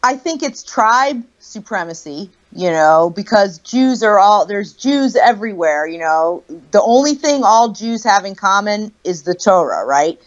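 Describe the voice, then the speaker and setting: A woman's voice: medium pitch, animated, a little slow, few pauses, highs cut off. A woman joining a livestream over a video call, explaining her views in a casual discussion and quoting others to make her point. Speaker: livestream guest.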